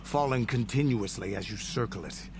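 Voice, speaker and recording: An actor playing a grizzled old warrior in a video game, proudly and solemnly recounting battles in a gravelly voice. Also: male voice, lowish voice, normal speed, natural voice. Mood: proud, reminiscing. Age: older. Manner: solemn, boastful, grand storytelling. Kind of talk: video game character monologue. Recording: game audio with background noise.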